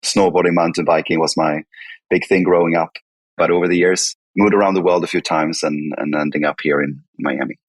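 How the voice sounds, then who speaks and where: A man with a Swedish accent speaks in a very deep, husky voice, recorded close and dry. He answers as a podcast guest in a conversational way, now and then repeating a word as he explains. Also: steady tone, fairly quick, no echo. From northern Sweden. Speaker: podcast guest, company chief executive.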